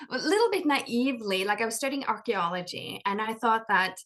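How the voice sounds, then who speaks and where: A woman from Norway, a life coach and author, telling her story in a chatty interview, full of "like" fillers and quick laughs. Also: a little high, lively intonation, a bit bright. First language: Norwegian.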